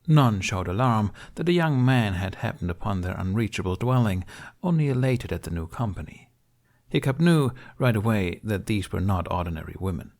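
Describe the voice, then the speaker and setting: A man's voice: lowish voice, lively intonation, normal speed, darkish tone. A man reading a scripted story as an audiobook narrator.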